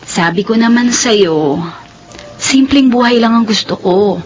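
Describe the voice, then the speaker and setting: A woman playing a gentle young city woman who longs for a simple life, in a Filipino radio drama. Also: a little high, expressive, a little slow, highs cut off, slight background noise. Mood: friendly, curious.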